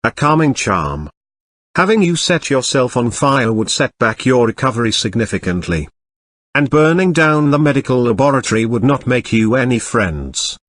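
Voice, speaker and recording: Casting: synthetic male reader as posh, snobbish young English gentleman, polite and formal, higher-set voice, dry audiobook sound. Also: sing-song, no echo. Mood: calm, formal. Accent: upper-class English. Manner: quiet, formal, measured. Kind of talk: text-to-speech story reading.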